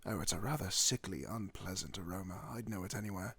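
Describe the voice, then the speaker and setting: A man playing a courteous werewolf doctor in a fantasy ASMR roleplay, speaking formally and reassuringly with old-fashioned wording and a husky voice. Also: sits low, fast talker, a bit bright, exaggerated voice. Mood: polite, reassuring.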